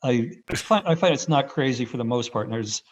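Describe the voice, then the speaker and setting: A man giving an unscripted, rambling first-person account in halting bursts, as a radio hobbyist on a video call. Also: medium pitch, animated. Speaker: amateur radio contest operator. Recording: dull, band-limited video call audio.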